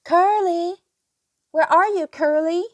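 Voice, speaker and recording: A woman voicing eager, excitable farm children in a storybook, calling out with worry and excitement. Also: very high voice, takes it slowly, dry recording, studio quality, voice pushed up. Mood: calling anxiously.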